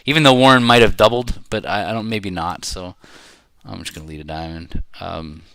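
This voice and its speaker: Bright-voiced man thinking aloud over a game. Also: deep voice, vocal fry, no room sound, clean studio sound. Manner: rambling, halting, thinking aloud. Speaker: bridge player commentating while playing. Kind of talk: casual live game commentary.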